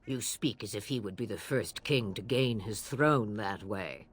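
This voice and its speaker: A woman's voice: rumbling low, measured pace, husky, full character voice. An actress playing a cryptic, commanding old witch of the wilds in a video game, sardonic and archaic in her phrasing. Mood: dark, knowing. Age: older.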